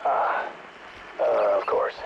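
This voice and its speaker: An actor playing an awkward, uneasy American scientist in dramatic game dialogue. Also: male voice, slow, close to an everyday voice. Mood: awkward, unnerved. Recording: dull, noisy radio-like log recording.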